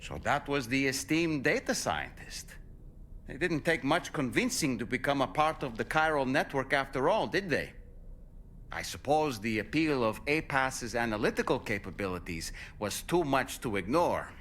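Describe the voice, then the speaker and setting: An actor playing an erudite, chatty psychologist companion in a game, speaking in an articulate, wry, professorial way. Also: male voice, mid-range voice, animated, moderate pace, a bit bright, a little hiss or hum, lightly acted. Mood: wry, amused. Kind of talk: game companion dialogue, explanatory.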